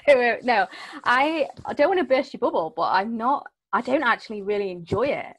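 A woman's voice: on the high side, animated, normal speed, fairly bright tone, slightly rough, dry recording, rough recording. British woman guest, 30s, wry and casual, dry close sound. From United Kingdom. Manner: self-deprecating, jokey, loose and rambling. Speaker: guest, security CEO. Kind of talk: casual interview. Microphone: video call.

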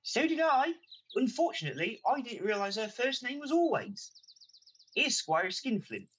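A woman's voice: on the high side, big pitch swings, normal speed, fairly bright tone. A woman playing a cheery village bailiff with chummy comic patter in a radio pantomime. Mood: jovial. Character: cheery joking village bailiff and Morris man.

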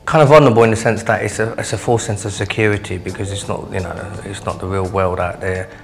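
A man's voice: on the low side, fairly even intonation, quick pace, soft background music. A man giving an unscripted interview, searching for words with many filler phrases. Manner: often says "you know". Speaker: interviewee, patient.

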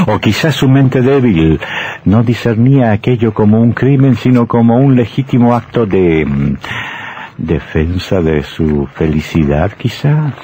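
Slow, sinister horror storyteller. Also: male voice, on the low side, lively intonation, warm tone. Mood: gleeful, sinister. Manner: theatrical, with drawn-out words and dramatic pauses. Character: sinister male horror storyteller, slow, mocking, gleefully macabre. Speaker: narrator and host of a horror radio drama. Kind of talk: vintage horror radio drama narration. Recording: noisy old radio drama recording.

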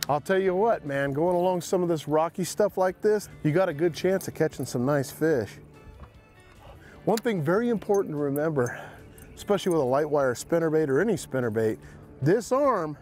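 Folksy fishing host on a boat. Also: male voice, on the high side. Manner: folksy, excited when landing fish. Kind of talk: outdoor fishing instruction. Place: on a bass boat on an open lake.

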